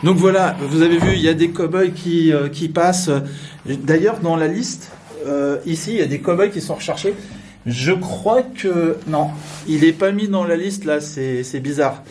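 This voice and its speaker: A man's voice: fairly high pitch, moderate pace, darkish tone, a little hiss or hum. A man vlogger chatting casually as he walks through a hotel room, friendly and full of filler sounds. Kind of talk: casual walkthrough commentary. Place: indoors in a hotel, walking through corridors and a room.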